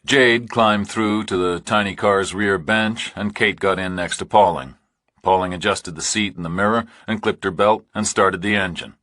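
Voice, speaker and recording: Male audiobook narrator for a thriller: very deep voice, close dry sound. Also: moderate pace, a bit bright, dry recording.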